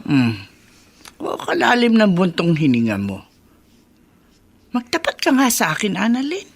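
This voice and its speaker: A woman's voice: mid-range voice, wildly swooping pitch, unhurried, breathy edge, heavily acted. An actress playing a caring, probing older mother and grandmother in a radio drama, with a Filipino accent. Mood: concerned, probing.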